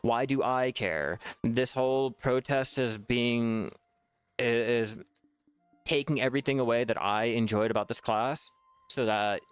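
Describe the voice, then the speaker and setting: A man's voice: mid-range voice, unhurried, smooth, no echo, soft background music. Profane, frustrated man ranting. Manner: frustrated, profane, repetitive restarts. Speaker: gaming video creator. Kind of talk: ranting gameplay commentary.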